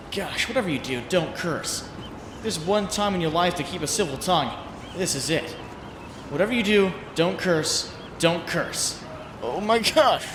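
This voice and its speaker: A man's voice: high-pitched, close to an everyday voice. A man voicing a troubled, sardonic young superhero in a comic dub, recorded in a roomy, noisy space. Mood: anxious musing. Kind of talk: comic dub acting with introspective narration.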